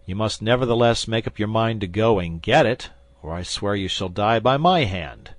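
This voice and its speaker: A man's voice: fairly low pitch, lively intonation, moderate pace, dry recording, close to an everyday voice. Man doing a fierce young swordsman. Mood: stern. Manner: commanding, stern. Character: fierce young male guardsman hero.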